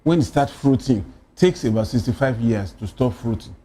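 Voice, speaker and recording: A man's voice: medium pitch, lively intonation, moderate pace, mellow. A man with a Nigerian accent making conversational comments and interjections as a talk show panellist.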